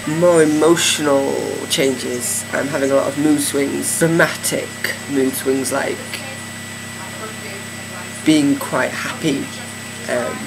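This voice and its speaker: A man's voice: mid-range voice, takes it slowly, vocal fry. A man giving a casual video diary update, talking hesitantly with many fillers and restarts. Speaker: vlogger. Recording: steady air conditioning hum.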